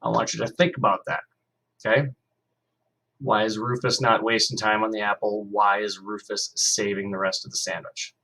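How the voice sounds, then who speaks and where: A man's voice: fairly low pitch, little pitch movement, moderate pace, sharp tone, low-quality recording. A male teacher reading a novel aloud to his class, reading expressively and stopping to ask the students questions.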